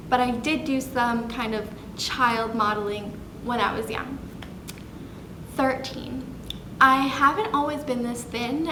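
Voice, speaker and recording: A woman in her twenties chatting casually on a vlog, bubbly, with lots of fillers and self-corrections. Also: fairly high pitch. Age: 20s. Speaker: vlogger. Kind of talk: casual chat listing facts. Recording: echoey room with background noise.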